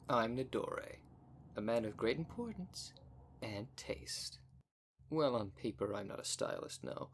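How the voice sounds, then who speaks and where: A man's voice: medium pitch, slight background noise, lightly acted. A man voicing a vain, flirtatious, snobbish werecat socialite in a scripted roleplay spoken to the listener, with a pompous, haughty manner. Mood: smug, self-important. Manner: pompous, haughty, smooth-talking. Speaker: voice actor in audio roleplay.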